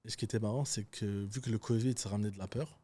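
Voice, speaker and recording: A man with a French accent, a podcast guest telling business stories in an animated, slangy, casual way. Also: lowish voice, quick pace. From Canada. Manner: animated storytelling, loose and slangy. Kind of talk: casual interview talk.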